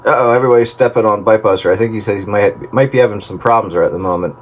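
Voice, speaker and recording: A man hosting a casual radio hobbyist chat over CB, speaking haltingly over a two-way radio link. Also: on the low side. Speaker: host of a radio hobbyist round-up. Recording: very noisy CB radio transmission.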